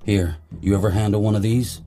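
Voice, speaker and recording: A man's voice: on the low side, steady tone, fairly quick, heavy background noise, lightly acted. A man playing a stern, dry, duty-bound army officer in an audio drama, speaking with authority. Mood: brisk instruction. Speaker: commanding officer character.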